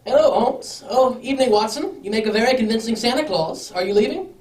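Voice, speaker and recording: A woman's voice: a little high, expressive, a little fast, darkish tone, far from the mic, close to an everyday voice. A woman playing a polite, well-off lord with a formal, slightly hesitant manner in a radio drama. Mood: genial. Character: well-off, courteous English lord, earnest client. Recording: echoey room, off the microphone.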